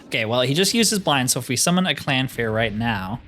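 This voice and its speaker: A man's voice: mid-range voice, lively intonation, a little fast, bright, forceful tone. Casual gamer guy over background music. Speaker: gaming video host. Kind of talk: casual gameplay commentary.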